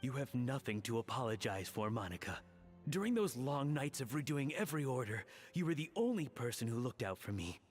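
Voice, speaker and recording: A man's voice: mid-range voice, sing-song, brisk, faint music behind, lightly acted. A man voicing a dejected, self-doubting young cook in a video game, speaking in an earnest, hesitant and downcast manner. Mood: grateful, subdued. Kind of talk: English video game voice acting.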